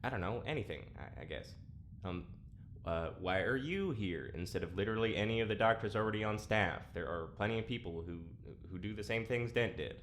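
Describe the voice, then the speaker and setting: Very low male voice for a stubborn, sardonic prison test subject; casual, halting, naturalistic, slight noise behind. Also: lively intonation, a bit bright. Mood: confused, questioning. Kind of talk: naturalistic audio drama acting.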